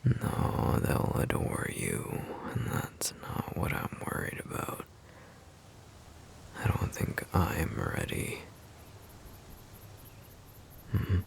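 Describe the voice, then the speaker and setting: A man acting as a shy, sweet wolf-shifter boyfriend in an ASMR audio roleplay. His voice is extremely low and full of crackling fry, often slipping toward a whisper. He talks slowly and hesitantly, in a subdued, tender way. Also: huge pitch swings, exaggerated voice. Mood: tender, unsure. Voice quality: very deep, breathy, gravelly murmur. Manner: hesitant, halting, soft-spoken.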